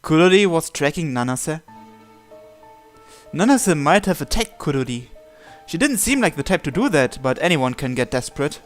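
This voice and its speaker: A man with a somewhat high-pitched voice reads a visual novel aloud for a let's-play. He voices both the narration and every character's lines in his own plain voice. Soft game music plays quietly underneath. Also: sing-song, moderate pace.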